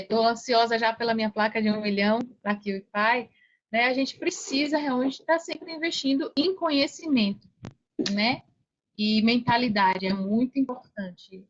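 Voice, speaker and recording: A middle-aged Brazilian woman with a fairly high voice, recorded a little dully. She talks casually as a podcast guest, stresses her points by repeating them and checks in with short tag questions. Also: muffled recording. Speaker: podcast guest, online affiliate mentor. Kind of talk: casual interview talk.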